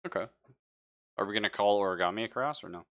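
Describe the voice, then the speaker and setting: A man's voice: lowish voice, quick pace, vocal fry, highs cut off. A man running a tabletop game as game master in casual, easygoing chat. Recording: live online game stream.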